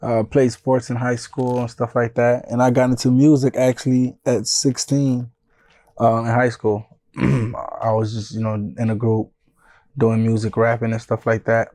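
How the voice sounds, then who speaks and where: Guest: man, 30s, from Haiti via Florida, laid-back storyteller, even monotone, dry close sound. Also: mid-range voice, unhurried, dry recording. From born in Haiti, raised in Broward County, Florida. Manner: laid-back, often says "you know what I'm saying". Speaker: music manager and guest. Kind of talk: casual interview storytelling.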